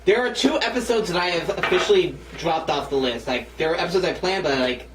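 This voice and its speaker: A man's voice: a little high, quick pace. Young man narrating scripts, roomy distant sound. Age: 20s. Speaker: host and narrator. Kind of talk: casual reading of script stage directions. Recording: roomy, distant mic, faint noise.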